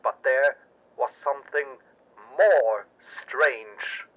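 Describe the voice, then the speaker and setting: A man narrating a pulp audio drama in a theatrical, suspenseful and deliberate way. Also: mid-range voice, fairly bright tone, dull audio. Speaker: narrator and storyteller.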